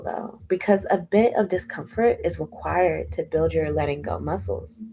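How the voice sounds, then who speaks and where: A woman reading a nonfiction book aloud in a measured, deliberate way. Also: on the low side, gravelly, dark tone, low-quality recording. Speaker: audiobook reader. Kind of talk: unhurried audiobook reading.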